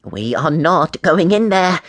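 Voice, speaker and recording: A man's voice: fairly high pitch, very animated, moderate pace, no echo. A man voicing a shy, cautious, sensible schoolboy in a storybook, with a wary, reasoning manner. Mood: fearful.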